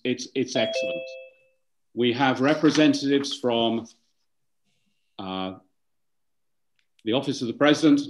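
A man's voice: mid-range voice, dull audio. A man moderating a webinar on a video call, giving formal welcomes and introductions, speaking with some fillers and restarts.

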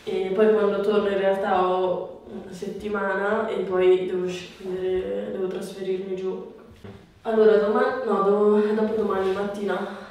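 A woman's voice: medium pitch, level tone, unhurried, darkish tone. A young adult woman, chatting casually as a patient during a treatment. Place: small bare treatment room, indoors. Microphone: camera a few steps away. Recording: very echoey room, distant mic, light noise.

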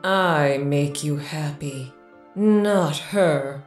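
An otherworldly seductress in a horror audio drama, possessive and demanding. Her voice is low and very slow, drenched in echo. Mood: possessive longing. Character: Lyra, possessive seductive enchanting otherworldly woman in a cabinet. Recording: echoey voice over faint music.

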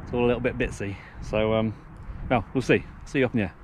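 A man's voice: medium pitch, steady tone, fast talker, noisy background. A man in his thirties, a paraglider pilot, talking casually and bluntly to an action camera outdoors, swearing and downplaying his own flying. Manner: frank, swearing, self-deprecating. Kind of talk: casual vlog commentary. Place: outdoors on a hilltop, then in flight.

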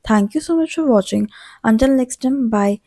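Female explainer narrator: relaxed mellow tone, measured delivery, dry studio-like sound. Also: on the high side, no echo. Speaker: channel narrator.

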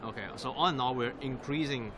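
A man presents live in a casual, conversational style. He often repeats words as he builds a sentence. Clear noise from a large busy exhibition hall runs behind him. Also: mid-range voice, fairly quick. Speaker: live stream reporter and host. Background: busy trade fair ambience.

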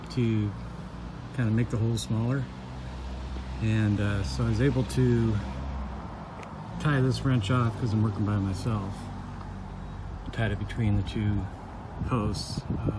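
A man's voice: lowish voice, noisy background. A man working on his boat outdoors, explaining step by step in a practical, matter-of-fact way. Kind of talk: casual explaining to camera while working. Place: outdoor boatyard.

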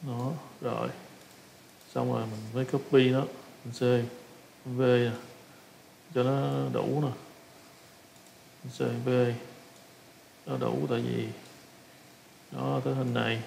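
A man with a Southern Vietnamese accent casually talking through a software tutorial step by step, halting and repeating himself as he improvises. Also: medium pitch, level tone, gravelly, slight background noise. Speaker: tutorial instructor.

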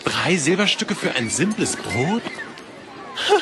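A man's voice: on the high side, big pitch swings, slow pace, music in the background. A man playing a hot-headed young swordsman in an audio drama, brash and sarcastic. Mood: indignant.

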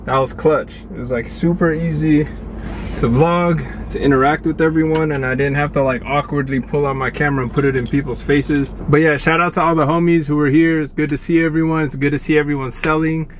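A young man vlogging casually while shopping a flea market, chatting with sellers in relaxed slang, recorded on a body-worn camera. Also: a little high, fairly quick, lo-fi sound, slight background noise. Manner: laid-back slangy banter. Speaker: vlogger and reseller. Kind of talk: casual vlog chat. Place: outdoor flea market and parked car.

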